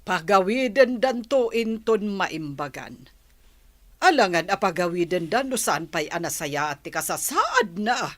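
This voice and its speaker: A reproachful old grandmother in a radio drama. She speaks very slowly, and the recording is close and dry. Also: mid-range voice, big pitch swings, no echo. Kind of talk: Ilocano radio comedy-drama acting.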